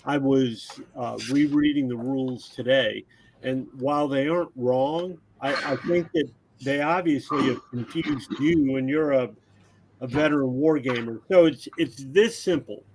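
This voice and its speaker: A man's voice: medium pitch, unhurried, noisy background. A man casually explaining tabletop game rules during play, in a helpful, instructive way. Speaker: guest game designer teaching the game.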